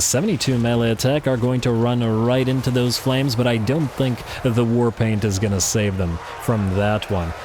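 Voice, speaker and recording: A man hosting a let's play, giving chatty, excitable play-by-play of a strategy battle while losing his voice a little. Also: mid-range voice, background noise. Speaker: gaming channel host.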